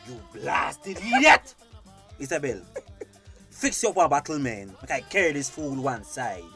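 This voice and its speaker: Brash, animated Belizean Creole boat boss. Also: male voice, on the high side, measured pace, soft background music, full character voice. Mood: irritated. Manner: grumbling, animated. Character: aggrieved, bossy male boat boss, brash sweet-talker. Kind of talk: radio drama acting.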